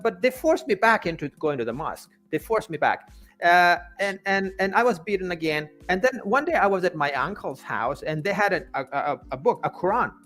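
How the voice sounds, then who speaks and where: Halting, high-voiced man, native Farsi speaker. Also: fairly bright tone. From Afghanistan. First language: Persian (Farsi). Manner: halting, stammering repetitions of words. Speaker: interview guest. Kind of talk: personal storytelling in an interview.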